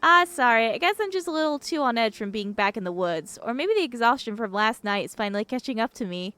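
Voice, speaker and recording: A woman's voice: a little high, animated, fast, bright, forceful tone, no room sound. A woman playing a friendly, eager, slightly nervous young small-town woman in a visual novel, chatty and apologetic. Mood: friendly, uneasy.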